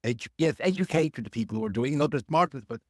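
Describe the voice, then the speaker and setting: A man speaks as a podcast guest over a remote call, thoughtful and a little halting, stopping often to rephrase. His voice sits at an ordinary male pitch, and the sound is dry with no room echo. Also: expressive, darkish tone. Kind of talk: casual interview chat.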